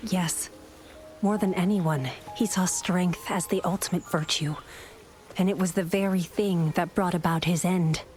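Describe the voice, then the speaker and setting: A woman's voice: lowish voice, fairly even intonation, darkish tone, close to an everyday voice. Woman playing a solemn, thoughtful female advisor. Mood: somber, reflective. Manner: measured, earnest, reflective. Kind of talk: dramatic video game voice acting. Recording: music behind the voice.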